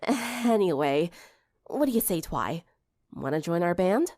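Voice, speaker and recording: A woman's voice: lowish voice, sing-song, unhurried, darkish tone. A woman voicing a confident, encouraging teenage girl band leader with a casual, wry manner in an audiobook story. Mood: casual, inviting.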